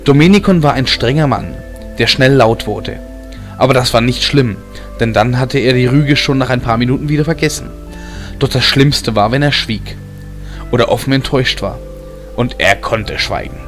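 A male narrator reads a fantasy audiobook in a mid-pitched voice. The delivery is scripted storytelling, with film music playing behind it. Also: normal speed. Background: background film music.

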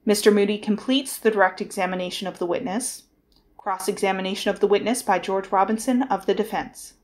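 A woman's voice: mid-range voice, steady tone, brisk. A woman delivering formal scripted narration as the narrator of a trial reading.

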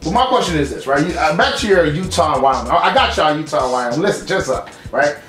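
A man in his thirties from South Florida argues his points emphatically and casually as a co-host, constantly checking that he is understood. He talks fast. The sound is echoey and slightly distant, like a home room, with faint music behind. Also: a little high, expressive, a bit bright. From South Florida, United States. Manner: emphatic, peppers talk with "you know what I'm saying". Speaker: co-host of online discussion show. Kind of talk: casual opinionated debate.

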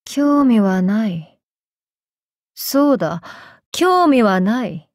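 A woman voicing a tormented, vengeful samurai woman in an anime game, speaking in archaic, solemn, halting phrases. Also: fairly high pitch, very animated, no echo, clean studio sound, full character voice. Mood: haughty, guarded. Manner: archaic, solemn speech with halting pauses, sometimes sinking to whispers. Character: vengeful possessed samurai woman, tormented, torn between two selves. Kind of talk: anime game character voice lines.

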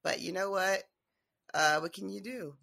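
Bright-voiced man rambling through a recap. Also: high voice, steady tone, normal speed, creaky. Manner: rambling, full of fillers and laughs. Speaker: podcast co-host. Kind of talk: casual recap chat about a TV episode.

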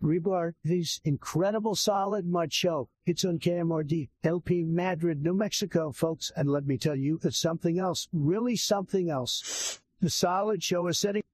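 A man playing a bombastic older politician, husky-voiced, giving a boastful, repetitive endorsement like a campaign speech. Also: on the high side, normal speed, darkish tone, dry recording. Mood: boastful, grand. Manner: bombastic, repetitive, self-congratulating.

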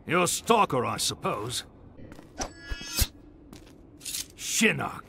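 An actor playing a sly, sardonic mercenary in a video game, with a smug manner. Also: male voice, medium pitch, big pitch swings, very slow, vocal fry, pushed and bright, soft background music, exaggerated voice. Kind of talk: video game character acting.

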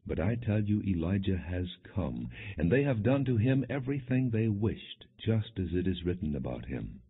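A man reading scripture as an audiobook narrator, in a solemn, measured, scripted storytelling style. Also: sits low, very animated, breathy edge. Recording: muffled, narrow phone-like audio.

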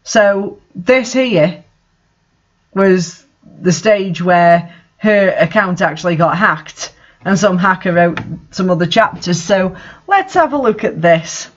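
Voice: mid-range voice, expressive, slow pace, lo-fi sound. A narrator reading fan fiction for comedy, breaking off for exasperated, mocking asides. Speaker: reader and commentator.

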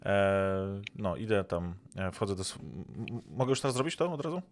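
A man's voice: sits low, lively intonation, moderate pace. A man playing a tabletop role-playing game, talking through what his character does. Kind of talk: describing his character's actions. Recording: noticeable background noise.

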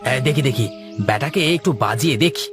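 A man voicing a greedy, sly farmer in an animated moral story, excitable and full of exclamations. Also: medium pitch, fairly quick, natural voice. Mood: sly, nervous. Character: greedy, sly male farmer who fools his neighbour. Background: background music.

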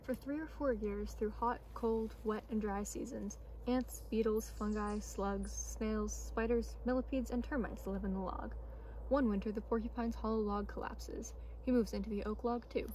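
A woman's voice: medium pitch, fairly even intonation, normal speed, mellow, rough recording. Young woman reading aloud over outdoor noise. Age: young adult. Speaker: intern reading a storybook aloud. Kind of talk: read-aloud children's nature storybook. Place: outdoors on a forest trail. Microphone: camera or phone a short distance away. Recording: clear outdoor ambient noise.

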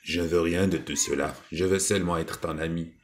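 A man voicing an ugly old toad in a storybook tale, with a hoarse, cracked voice. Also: low voice, fairly even intonation, moderate pace, slight background noise, close to an everyday voice. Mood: coaxing.

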